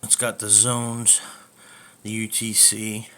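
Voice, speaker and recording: A man's voice: fairly low pitch, slow pace. Man casually demoing an old radio. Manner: relaxed, rambling explanations with fillers. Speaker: radio hobbyist reviewing a radio. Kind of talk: casual product walkthrough. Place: kitchen indoors at home.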